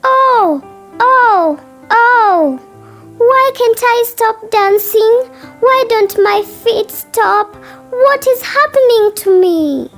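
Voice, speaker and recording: Whiny, very high-pitched stubborn little girl. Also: expressive, unhurried, soft background music. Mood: distressed, pleading. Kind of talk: children's fairy-tale character voice.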